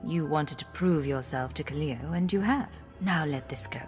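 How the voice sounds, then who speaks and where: Woman acting a composed, lowish-voiced secret agent. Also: moderate pace, soft background music, close to an everyday voice. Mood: firm, reasoning. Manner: calm, articulate, matter-of-fact. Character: composed, cool-headed female secret agent, firm and diplomatic. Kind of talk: video game voice acting.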